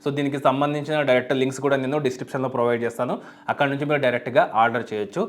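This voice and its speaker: A man's voice: mid-range voice, fairly even intonation, racing, a little hiss or hum. A man explaining a product step by step as a tech reviewer, in an unboxing video style. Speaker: YouTube tech reviewer and host.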